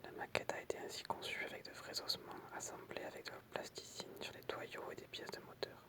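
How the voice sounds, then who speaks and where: A person whispering in an ASMR style, reading out short pieces in a soft, explanatory way with casual asides. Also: brisk. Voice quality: unvoiced, breathy whisper. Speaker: ASMR creator reading film trivia with commentary.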